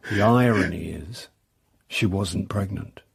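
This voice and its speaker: A wry British amateur detective in a radio drama, low and husky. He speaks drily and can stammer, recorded close in a dry space. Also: slow pace, no echo, heavily acted. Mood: grave. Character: wry, hesitant amateur sleuth narrating his own story. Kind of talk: radio drama dialogue and narration.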